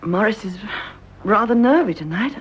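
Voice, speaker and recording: A British actress playing a devoted, anxious young wife in a radio drama, genteel and hesitant. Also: female voice, medium pitch, lively intonation, normal speed, a little hiss or hum, lightly acted. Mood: troubled, earnest. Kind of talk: BBC radio drama acting.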